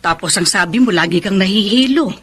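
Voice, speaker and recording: A woman's voice: medium pitch, animated, fairly quick, fairly bright tone, slightly rough. An actress playing a concerned middle-aged mother, motherly and inquiring, in a Filipino radio romance drama. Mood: probing. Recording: music bed behind the voice.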